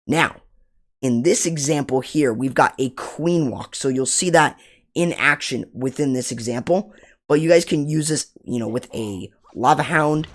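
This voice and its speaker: Raspy-voiced man explaining game strategy. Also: mid-range voice. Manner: casual, explanatory, self-correcting. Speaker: gaming channel host. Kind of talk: game strategy guide commentary.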